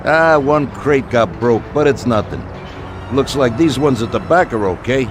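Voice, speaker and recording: An actor playing a genial, patronizing mafia boss in a video game, expansive and unhurried, over noisy outdoor ambience. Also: male voice, mid-range voice, animated, over music, lightly acted. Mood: pleased.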